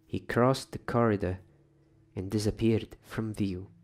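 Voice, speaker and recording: A soft-spoken man reading a novel as an ASMR bedtime story in a hushed, deliberate, dramatised way. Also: fairly low pitch, steady tone.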